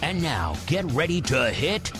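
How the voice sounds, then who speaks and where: A man reading a scripted show intro, sponsor spot and legal disclaimer in a polished, measured announcer delivery. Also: fairly high pitch, very animated. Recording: announcer voice over music. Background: music.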